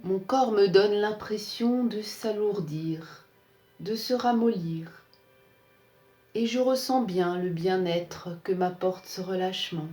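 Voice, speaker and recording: Slow, mellow woman guiding relaxation. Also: medium pitch, faint music behind. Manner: calm, soothing, measured phrasing with pauses. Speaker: yoga nidra instructor. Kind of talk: guided relaxation meditation. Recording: roomy, echoing sound.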